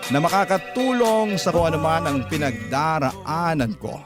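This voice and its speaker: Filipino man narrating over loud music. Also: fairly high pitch, normal speed. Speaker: radio host reading listener letters. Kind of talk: dramatic letter narration.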